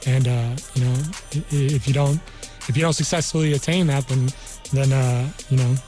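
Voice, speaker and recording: Measured American man explaining, music behind. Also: medium pitch, fairly even intonation, warm tone. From New Mexico, United States. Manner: halting, measured, repeats "you know". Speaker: guest, army career counselor. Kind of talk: explanatory radio interview. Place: radio studio.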